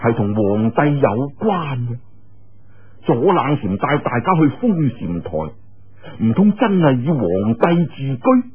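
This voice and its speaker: A man with a Cantonese accent telling a martial arts story in a theatrical, colloquial, expressive way. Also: medium pitch, slow pace, husky. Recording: muffled narrow-band radio-like sound.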